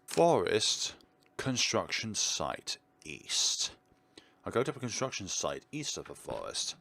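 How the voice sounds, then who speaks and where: A man narrating a visual novel playthrough aloud in a dramatic, expressive way, mixing read lines with his own asides. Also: fairly low pitch, takes it slowly, vocal fry, no room sound. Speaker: let's player reading a visual novel aloud.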